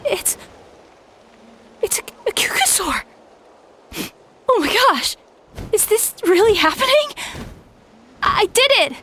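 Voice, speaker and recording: A woman's voice: very high voice, sing-song, slow, pauses often, sharp tone, a little hiss or hum, full character voice. A woman playing an emotional young female warrior in a video game. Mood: amazed, overjoyed.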